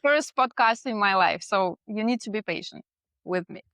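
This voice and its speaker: A woman's voice: medium pitch, expressive, moderate pace, fairly bright tone, no room sound. A woman from Russia with a Russian accent, telling her own story as a guest in a casual interview. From Moscow, Russia. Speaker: podcast guest, portrait photographer.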